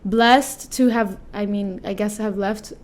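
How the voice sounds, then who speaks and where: A woman in her twenties, from Venezuela, speaking as a guest in a relaxed interview. She thinks aloud and restarts often, sprinkling Spanish words into her English. Her voice sits at a middling pitch. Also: measured pace. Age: 20s. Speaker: singer-songwriter guest. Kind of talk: casual interview conversation.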